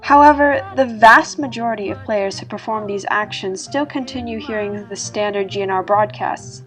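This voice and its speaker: A woman's voice: medium pitch, normal speed, fairly bright tone, rough recording. Woman narrating a story over music. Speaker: narrator reading an online horror story about a video game. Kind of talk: scripted narration.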